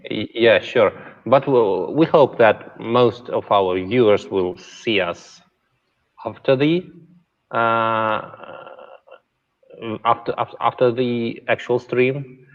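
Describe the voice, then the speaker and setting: A man moderating an online tech conference stream, relaxed and halting with many fillers. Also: mid-range voice. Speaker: event host. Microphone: video call. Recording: dull, reverberant stream audio.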